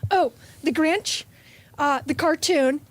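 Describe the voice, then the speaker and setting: A woman performs in an audio play in a conversational style. Her voice sits high, well above the middle for women. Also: takes it slowly.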